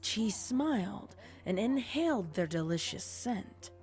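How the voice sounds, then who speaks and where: A woman's voice: medium pitch, big pitch swings, slow pace, a little mellow, faint music behind. A woman reading scripted story narration as the narrator of a tale.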